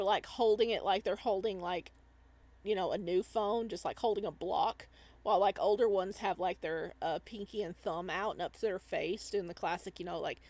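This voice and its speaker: A woman's voice: mid-range voice, a little mellow. A woman hosting a casual visual novel playthrough, chatting and reading aloud. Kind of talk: casual playthrough chat and read-aloud narration.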